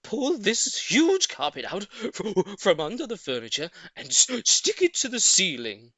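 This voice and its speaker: A reader voicing a frantic, bossy male monkey father who shouts orders impatiently. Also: mid-range voice, huge pitch swings, measured pace, no echo, pitched above the performer's own voice, full character voice. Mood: bossy shouting. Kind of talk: storybook character voice.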